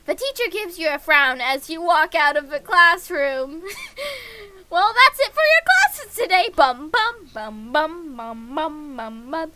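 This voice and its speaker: A woman livestreaming a game in a casual, excitable way, reading the text aloud and reacting with giggly gushing. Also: high voice, takes it slowly, dry recording.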